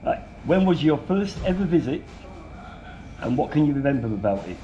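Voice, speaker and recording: A man reading out viewer questions in a casual chat, with dry deadpan asides. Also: a little high, moderate pace, dull audio, a little hiss or hum.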